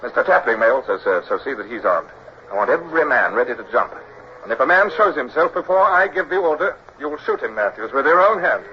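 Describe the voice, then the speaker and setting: An actor as an earnest British naval officer, noisy old recording. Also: male voice, medium pitch, lively intonation, fast talker, muffled recording, close to an everyday voice. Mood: urgent, commanding. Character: earnest young British naval officer, acting lieutenant, narrating and commanding. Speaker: lead actor and narrator. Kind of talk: old-time radio drama acting.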